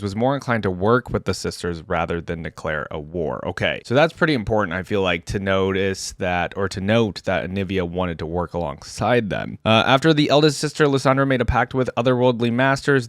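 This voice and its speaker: A man's voice: lowish voice, dry recording, studio quality. A man hosting a reaction video, casually commenting and reading lore aloud with informal, chatty asides. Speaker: video host, reaction content creator.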